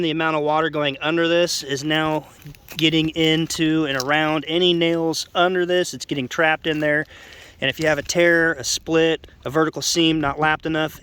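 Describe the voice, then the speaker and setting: Guy roofer with stuffy nasal voice, kinda high, explaining stuff off the cuff outside, some background noise. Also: a bit bright. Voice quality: congested, nasal. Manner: informal, plainspoken, rambling asides. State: congested nose. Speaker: roofer presenting his own video. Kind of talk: unscripted hands-on explainer vlog. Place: outdoors on a house roof.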